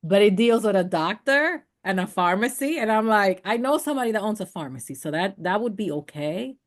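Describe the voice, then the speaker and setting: A middle-aged woman, an actress, chatting casually over a video call and acting out what others said. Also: a little fast. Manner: animated, acts out quoted people with big jumps in pitch. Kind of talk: casual conversation.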